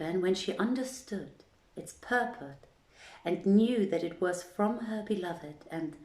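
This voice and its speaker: A woman's voice: on the low side, a little mellow. A woman hosting a storytelling evening and reading in a leisurely, conversational way. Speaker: host and reader of a storytelling series. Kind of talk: informal introduction, then literary storytelling reading. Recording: roomy echo with music underneath. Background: music playing in the background.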